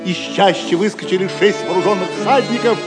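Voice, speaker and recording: A man's voice: high voice, fairly quick. A man narrating an audio drama in a dramatic scripted storytelling style, with music beneath him. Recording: music under the voice.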